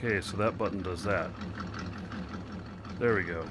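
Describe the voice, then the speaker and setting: A man streaming a video game, talking slowly to himself with many fillers as he works out what to do next. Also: sits low, heavy background noise. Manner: slow, thinking aloud with many fillers. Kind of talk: casual gameplay commentary.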